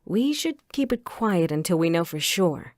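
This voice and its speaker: A woman playing a determined, methodical vigilante investigator in an audio crime drama, explaining things in a measured way. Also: lowish voice, very animated, a little mellow, no room sound, clean studio sound. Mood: measured, serious.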